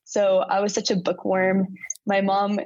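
A woman's voice: medium pitch, completely flat delivery, measured pace, a little mellow, no echo, muffled recording. A young woman from the United States, answering interview questions in a chatty, casual way and laughing often. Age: young adult.

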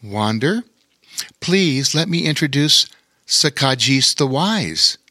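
Storybook bear queen, played by a male narrator: gracious, welcoming, bright, unhurried. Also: mid-range voice, lively intonation, no echo, studio quality, full character voice. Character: gracious, warm-hearted female bear queen.

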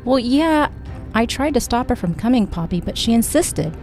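A woman's voice: a little high, animated, normal speed, a little mellow, faint music behind, pitched above the performer's own voice. A woman voicing a confident, plain-spoken young granddaughter in an audiobook, assured and matter-of-fact. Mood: earnest.

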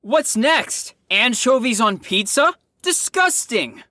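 Brash young patient woman performer, audio drama. Sharp bright tone, very animated, exaggerated complaining, close dry sound. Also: on the high side, takes it slowly, no echo, heavily acted. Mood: disgusted, sarcastic.

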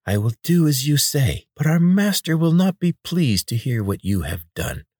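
A man voicing an oily, pudgy male healer with a nasal, squeaky, wheedling voice. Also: very animated, moderate pace, a little mellow, husky, no room sound, studio quality.

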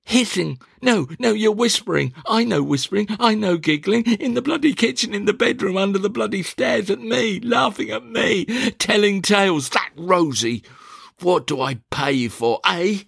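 A man voicing a fussy, fretful middle-aged mother in a one-man reading of a stage play, shrill and prone to outbursts. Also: animated, slow pace, creaky, a bit bright, no echo, studio quality, exaggerated voice. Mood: agitated.

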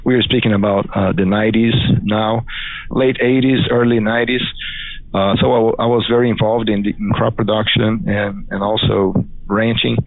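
Gravelly Brazilian-accented man on phone. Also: lowish voice, level tone, normal speed. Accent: Brazilian Portuguese. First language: Portuguese. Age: middle-aged. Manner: hesitant, many fillers and restarts. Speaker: guest, crop scientist. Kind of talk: interview answers. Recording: dry phone-like audio.

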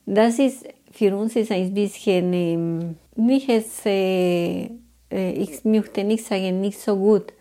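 A woman's voice: medium pitch, slow, warm tone. A woman from Bolivia speaking hesitantly in learner German about her work. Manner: hesitant, searching for words. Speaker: interviewee. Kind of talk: personal account in learner German.

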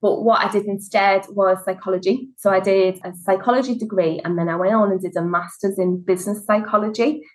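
A woman's voice: medium pitch, steady tone, a little fast, hardly any pauses, a little mellow, poor audio. Scouse woman, thirties, interview guest; chatty, storytelling, natural fillers. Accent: Liverpool (Scouse). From Liverpool, England. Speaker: guest, HR consultancy director. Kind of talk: conversational interview.

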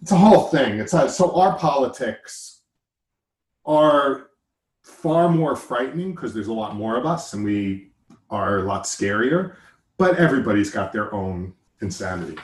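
An American man talks in a loose, joking way, wandering off track and correcting himself as he goes. His voice sits at an ordinary middle pitch. The room around him gives it a noticeable echo. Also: expressive, unhurried. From United States. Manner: joking, rambling, self-interrupting. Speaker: podcast host. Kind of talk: casual chat.

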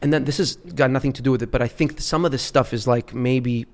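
A man's voice: medium pitch, steady tone, quick pace. A man from Lebanon who grew up in Dubai, a podcast guest telling stories in a rambling, restarting way. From Lebanon, raised in Dubai. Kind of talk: casual storytelling chat.

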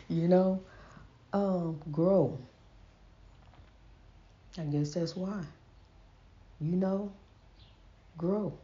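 A woman's voice: fairly low pitch, drawn-out words, long pauses, dark tone. A woman with a stutter giving a casual, folksy inspirational talk, repeating herself and calling the listener honey, on a dull lo-fi recording. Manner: says she stutters. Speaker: host. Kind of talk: casual inspirational preaching monologue.

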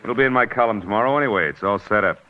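An actor playing a cynical, wisecracking scandal reporter with brash, slangy talk in 1940s radio style. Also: male voice, on the low side, rapid-fire, lightly acted. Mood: flippant, sly. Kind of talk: 1940s hardboiled radio acting. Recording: muffled, noisy old radio broadcast.

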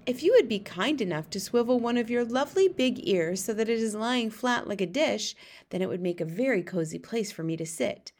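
A woman's voice: fairly high pitch, lively intonation, fairly quick, a little mellow. Woman voicing a polite plucky little storybook girl. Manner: polite, careful phrasing. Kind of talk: storybook read-aloud.

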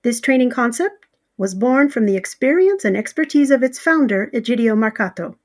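A woman reading a scripted sponsor message in an even, steady manner. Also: a little high, moderate pace. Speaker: sponsor announcer.

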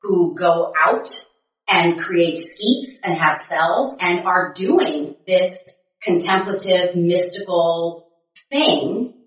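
A woman co-hosting a podcast, talking about faith in an animated way and building one idea on another. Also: lowish voice, slow. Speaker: podcast co-host. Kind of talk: reflective faith conversation. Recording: echoey room, distant mic, muffled.

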